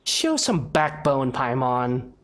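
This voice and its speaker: A woman's voice: very deep voice, sing-song, takes it slowly, very noisy. A woman gamer giving casual, wry and playful commentary while reading some game dialogue aloud. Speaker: gaming streamer.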